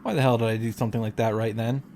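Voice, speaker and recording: A man's voice: mid-range voice, steady tone, racing, mellow, slight background noise. A man reading a visual novel aloud and reacting casually, often restarting and repeating himself as he goes.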